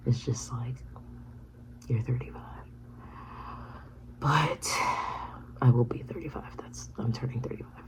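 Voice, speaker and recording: A woman in her thirties whispering a personal vlog, halting and reflective. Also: very deep voice, fairly even intonation, low-quality recording. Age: 30s. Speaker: vlogger.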